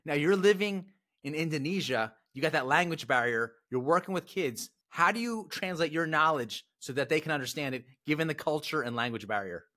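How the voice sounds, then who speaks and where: A man's voice: a little high, expressive, brisk, sharp tone. A man hosting a golf podcast interview in a brash, jokey, showman-like way. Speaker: podcast host.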